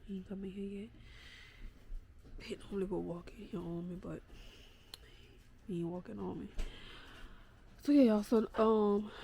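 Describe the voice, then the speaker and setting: A woman's voice: medium pitch, dark tone. Stuffy-nosed woman chatting to her phone. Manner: chatty, rambling, addresses viewers as y'all. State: stuffy nose from a cold. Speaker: vlogger. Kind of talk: casual vlog chat. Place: at home.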